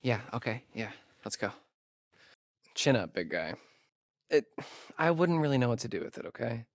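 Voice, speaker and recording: A man's voice: mid-range voice, big pitch swings, normal speed, dry recording, clean studio sound. A young man with an American accent playing a sarcastic, nervy camp counselor in a video game, speaking in a hesitant, stammering way. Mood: sardonic. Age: young adult. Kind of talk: naturalistic video game acting.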